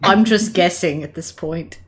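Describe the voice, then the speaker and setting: A woman with a slightly low voice sits in on a tabletop game. She drops short, dry, deadpan remarks in easy conversation. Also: unhurried, a crowd in the background. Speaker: player. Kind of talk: casual chat at a game table.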